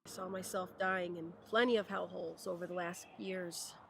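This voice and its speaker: A woman acting a tough, blunt female ex-soldier in a low-budget film. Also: steady tone, normal speed, gravelly, slight background noise. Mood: blunt, subdued. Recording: film clip under loud music.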